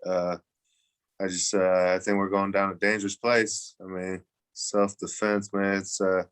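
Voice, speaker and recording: A man co-hosting a sports podcast, talking in a measured, hesitant way with many uhs. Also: low voice, fairly bright tone. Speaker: podcast co-host. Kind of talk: casual sports podcast chat.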